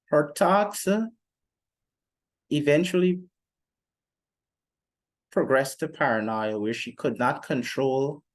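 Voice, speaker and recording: A young man giving an explanatory lecture in a formal, deliberate manner, pausing often between phrases. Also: on the high side. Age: young adult. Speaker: literature teacher presenting a lesson. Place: indoor room, seated before a plain wall.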